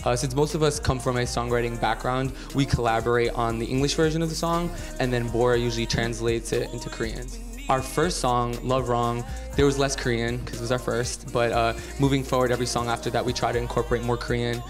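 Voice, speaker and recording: A man in a pop group explains how the band works, cheerful and easy, with some self-mocking humor. Music plays clearly underneath his voice. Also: medium pitch, fairly even intonation, brisk. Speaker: band member, dancer. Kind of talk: casual interview.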